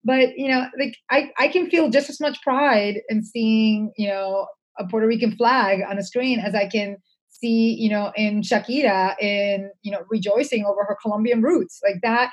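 A woman's voice: fairly high pitch, normal speed, husky. A woman speaking as an interview guest, conversational, reflective and earnest, with emphasis on her points.

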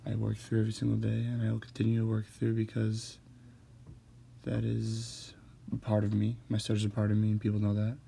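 Man, stutters, hesitant, flat delivery, dark mellow tone. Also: fairly low pitch, creaky, a little hiss or hum. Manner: stutters, hesitant with many pauses and fillers. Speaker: host. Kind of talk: personal monologue.

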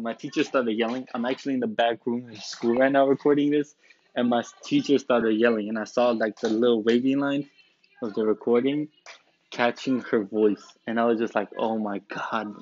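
A man's voice: medium pitch, a little mellow. A young man in his late teens from Romania, hosting a student podcast from the back room of a school in a rambling, joking, laid-back way. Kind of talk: casual rambling podcast monologue. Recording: dull, dry lo-fi recording.

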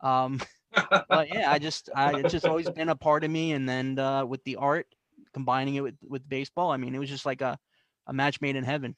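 A man's voice: mid-range voice, fairly even intonation, a little fast, fairly bright tone, no room sound. A young adult man from the New York area telling stories as a guest in a casual interview, with many fillers and restarts. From New York and New Jersey, US. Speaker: guest artist.

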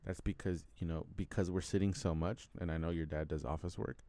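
A man's voice: deep voice, fairly even intonation, brisk, dark tone, no room sound. A man co-hosting a podcast, casually explaining things in an easygoing conversational way. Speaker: podcast co-host.

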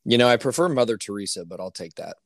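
A man co-hosting a casual sports podcast, hoarse and ranting with exasperation, his voice climbing when worked up. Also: fairly low pitch, lively intonation, fast, no room sound, studio quality. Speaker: podcast co-host.

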